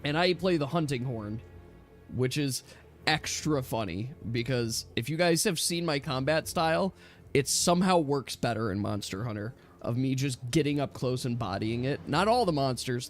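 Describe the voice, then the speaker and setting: A man chatting casually and at length as a gaming stream host, emphatic and animated, often correcting himself. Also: medium pitch. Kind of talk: casual rambling gamer chat. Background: background music.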